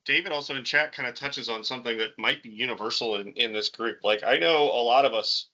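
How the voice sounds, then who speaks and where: A man with a bright, forceful voice talks to his peers in an encouraging, conversational way and often repeats words. His voice sits in the middle range. The call audio sounds a little dull. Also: mid-range voice, fairly quick. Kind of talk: casual video call chat.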